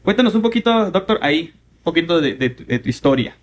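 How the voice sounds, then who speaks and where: A man's voice: fairly high pitch, very animated, fast, a little hiss or hum. A man hosting a podcast, upbeat, joking and chatty. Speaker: podcast host. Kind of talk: casual interview.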